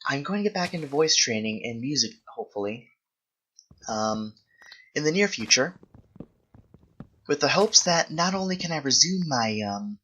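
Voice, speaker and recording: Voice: medium pitch, animated, normal speed, long pauses, sharp tone, slightly rough, low-quality recording. A young adult speaker chatting casually as a video host, rambling, thinking aloud and often self-correcting. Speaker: video host answering viewer questions while gaming. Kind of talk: casual rambling gaming chat.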